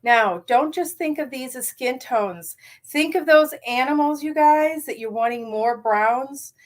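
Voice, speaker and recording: A middle-aged woman with a slightly raspy morning voice leads a casual live card-making tutorial, chatty and enthusiastic with many ums. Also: sits high, measured pace.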